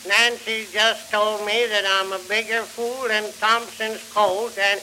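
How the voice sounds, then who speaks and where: Through the crackle of an early phonograph comes a jovial old country yarn-spinner. His voice is high and very sharp, with a rural American accent. He tells his homespun tales in the style of an early 1900s comic monologue. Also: flat delivery, a little slow, exaggerated voice. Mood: folksy, jovial. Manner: homespun, chuckles between anecdotes.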